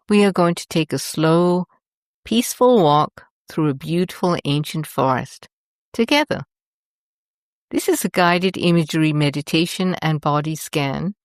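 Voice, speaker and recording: A woman with a low voice guides a meditation at a very slow pace. Her delivery is gentle, soothing and deliberate. The sound is dry and close, with no room echo.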